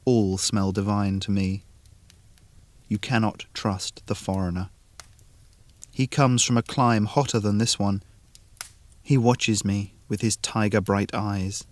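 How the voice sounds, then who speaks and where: A man reading an atmospheric short story in a deliberate, measured, literary manner. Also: on the low side, dry recording. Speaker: narrator.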